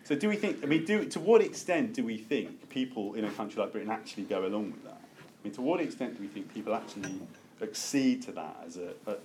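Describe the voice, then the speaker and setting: A man lecturing to a seminar group, halting and self-correcting as he thinks aloud and opens things up for discussion. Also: on the low side, expressive, darkish tone. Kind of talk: academic lecture with open discussion. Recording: noticeable background room noise.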